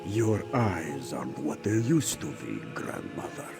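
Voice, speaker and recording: A man playing a skeptical, flippant cat-folk villager in a video game, with a low, gravelly voice. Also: huge pitch swings, unhurried, music in the background, exaggerated voice. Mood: dry, doubtful.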